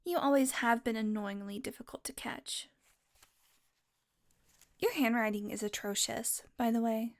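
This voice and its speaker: A woman's voice: on the high side, a little slow, long pauses. A woman voicing a playful, predatory female vampire who teases and mocks, sometimes dropping to a whisper. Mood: teasing. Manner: playful, mocking, drifts into whispers. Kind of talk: audio roleplay.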